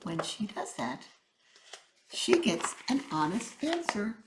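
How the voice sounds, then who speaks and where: A woman reading a children's story aloud with dramatic, deliberate narration. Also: mid-range voice, very animated, noisy background.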